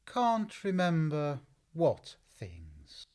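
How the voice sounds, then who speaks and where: A woman's voice: deep voice, huge pitch swings, extremely slow, mellow, full character voice. A woman playing a languid, sleepy, curt and contrary caterpillar in a full-cast audiobook. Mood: languid, commanding.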